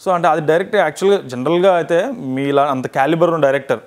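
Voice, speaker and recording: A man's voice: fairly high pitch, quick pace. A man with a Telugu Indian accent asking conversational questions as an interviewer.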